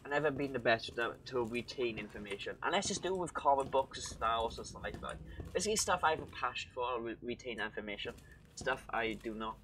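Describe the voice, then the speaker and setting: Bright-voiced young Welsh man streaming. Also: medium pitch, lively intonation, quick pace, slight background noise, rough recording. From Wales. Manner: rambling, chatty, talks to chat while playing. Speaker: game streamer. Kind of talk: casual live-stream commentary. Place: home room, indoors.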